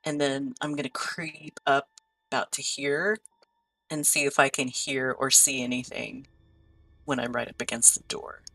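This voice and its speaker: Female tabletop player, deep for a woman, casual conversational delivery, faint music underneath. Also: flat delivery, a little slow, a bit bright, poor audio. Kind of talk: casual game-table chat.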